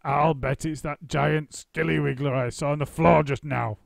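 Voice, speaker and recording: A man voicing a grumpy, nasty old husband who talks in a gruff, sneering way. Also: fairly high pitch, fairly even intonation, moderate pace, no room sound, studio quality, pitched above the performer's own voice.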